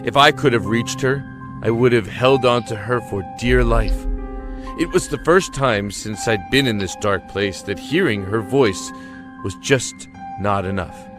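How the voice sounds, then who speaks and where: An actor playing an earnest, anguished young man locked in a dark cell, speaking haltingly and emotionally in an audio drama. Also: medium pitch, reverberant, close to an everyday voice. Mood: wistful narrating. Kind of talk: audio drama acting and first-person narration. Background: music.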